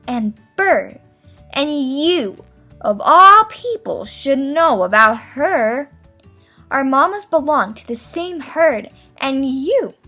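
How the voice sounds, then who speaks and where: A woman's voice: high voice, bright, forceful tone, exaggerated voice. A woman voicing a teasing, friendly young female llama in a storybook, playful and emphatic. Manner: emphatic, playful, drawn-out words.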